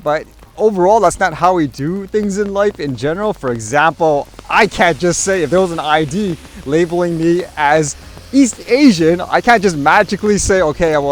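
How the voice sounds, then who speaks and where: A man's voice: on the high side, a little hiss or hum. A man giving casual opinion commentary as a vlogger, rambling along conversationally with frequent hedging asides. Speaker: vlogger host.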